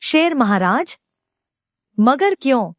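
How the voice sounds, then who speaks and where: A woman voicing a gentle, cautious, wise female goat in a cartoon moral story, earnest and polite. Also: high-pitched, sing-song, slow pace, dry recording, studio quality, exaggerated voice. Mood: polite, wary.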